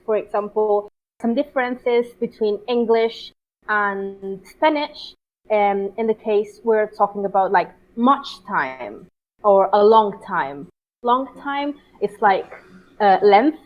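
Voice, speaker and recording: A woman with an Italian accent, a translator being interviewed, talking in halting bursts with many restarts. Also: mid-range voice. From Italy. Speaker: guest translator. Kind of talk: interview over a call. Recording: dull lo-fi call audio.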